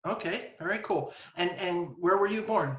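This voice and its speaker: A man's voice: sits high, moderate pace, fairly bright tone. A man hosting a casual interview, chatting informally and asking questions. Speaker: host, interviewer. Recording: roomy, echoey, dull lo-fi audio.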